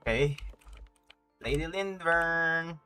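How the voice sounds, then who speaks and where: A man's voice: fairly high pitch, slow pace, bright, forceful tone, clean tone, faint music behind. A man livestreaming a game with casual, joking commentary full of slang, sometimes reading dialogue out. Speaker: streamer.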